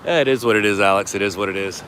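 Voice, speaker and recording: A man hosting a live stream, chatting casually with viewers. He has a deep voice that carries. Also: rapid-fire, a bit bright. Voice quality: deep, carrying voice. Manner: offhand, chatty, thanks viewers by name. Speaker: livestream host. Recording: noisy outdoor street recording.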